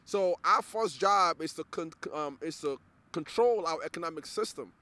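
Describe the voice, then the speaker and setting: Nigerian man, interview guest, a bit high-pitched, earnest and halting, repeats words. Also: pushed and bright. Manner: earnest, repeats words while searching for them. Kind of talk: casual interview conversation.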